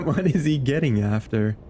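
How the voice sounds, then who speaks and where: A man's voice: on the high side, lively intonation, a little slow, mellow, slight background noise. A man streaming a game with casual, muttered commentary and reactions.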